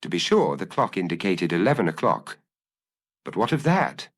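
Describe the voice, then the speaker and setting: Insistent courtroom defence counsel for audiobook fiction, played by a male narrator; rhetorical, low-pitched, highly varied intonation. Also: big pitch swings, moderate pace, heavily acted. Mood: insistent, persuasive.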